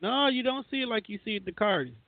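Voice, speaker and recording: Through a narrow, phone-like recording comes an American man with a fairly high voice. He talks casually as a show co-host, blunt and slangy, with a joking streak. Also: lively intonation, quick pace, no room sound. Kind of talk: casual opinionated panel chat. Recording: thin phone-like band-limited audio.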